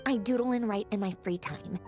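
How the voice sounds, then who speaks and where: A woman's voice: medium pitch, a little fast, music in the background, lightly acted. A woman playing a haughty, irritable young noblewoman in a video game, clipped and sarcastic. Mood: wry.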